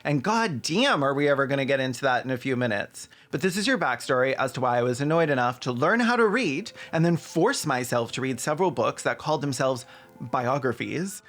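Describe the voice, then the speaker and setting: A man with a mid-pitched voice hosts a comedic video essay. His delivery is sarcastic and irreverent, scripted but full of casual asides, with faint music underneath. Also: animated, fairly quick, a bit bright.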